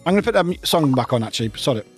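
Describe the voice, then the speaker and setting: A streamer chatting casually with viewers, rambling and laughing often, voice a little croaky. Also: male voice, on the high side, very animated, racing, faint music behind. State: slightly croaky voice. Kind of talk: casual livestream chat.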